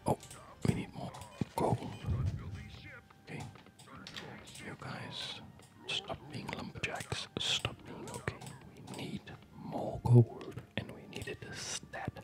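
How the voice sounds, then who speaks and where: Slow, whispering ASMR gamer. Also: music in the background. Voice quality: breathy, mostly unvoiced whisper. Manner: musing aloud to self in short, halting phrases. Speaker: gamer narrating own gameplay. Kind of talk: ASMR whispered video game commentary.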